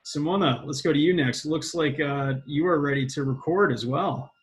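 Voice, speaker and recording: A man's voice: a little high, moderate pace. A man hosting an online panel discussion over a video call, upbeat and encouraging as he hands the floor around. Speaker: panel moderator.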